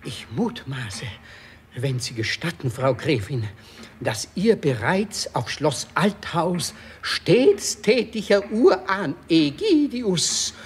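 An actor playing an old, obsequious, fearful manservant in a children's radio play, husky and quavering, formal and prone to stammering. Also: male voice, a little high, big pitch swings, slow, a little hiss or hum. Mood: solemn, deferential. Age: older.

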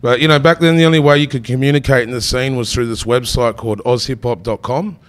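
A man in his thirties with an Australian accent, a rapper guest telling stories in relaxed, casual podcast talk. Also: mid-range voice, fast. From Tasmania. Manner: laid-back storytelling, peppered with "bro".

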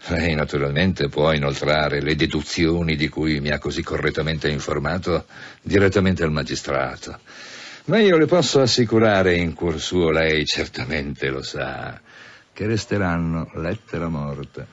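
An actor in an Italian radio drama playing a pompous senior police official, mock-polite and deliberate, with veiled menace. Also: male voice, extremely low pitch, heavily acted. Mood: pompous, persuasive. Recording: dull, muffled radio drama recording.

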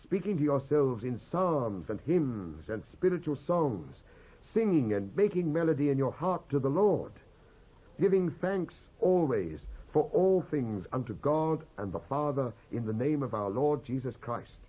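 A British man giving a solemn, emphatic, oratorical dramatised reading of King James scripture, with a husky voice, on an old cassette recording. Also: mid-range voice, sing-song, unhurried. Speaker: scripture narrator. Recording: dull lo-fi cassette transfer.

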